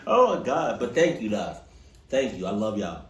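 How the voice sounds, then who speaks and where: Animated young man joking around. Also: fairly low pitch, normal speed, echoey room. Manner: joking, teasing, animated asides. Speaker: reaction video host. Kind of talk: casual reaction commentary.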